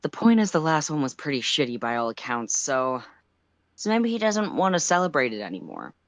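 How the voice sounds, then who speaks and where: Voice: mid-range voice, very animated, a little fast, no room sound. A narrator voicing an anxious, sarcastic teenage boy who mutters and interrupts himself in a story reading. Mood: worried muttering. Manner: muttering, self-interrupting, sardonic.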